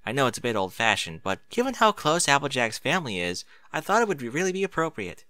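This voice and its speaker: A man voicing an eager, bookish young female pony in a story, earnest, precise and excitable. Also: medium pitch, fast talker, no room sound. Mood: earnest, happy.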